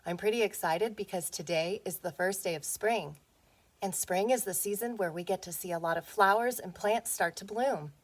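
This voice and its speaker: A woman teacher reading a picture book aloud to young children, playful and expressive, often putting questions to them. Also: medium pitch, moderate pace.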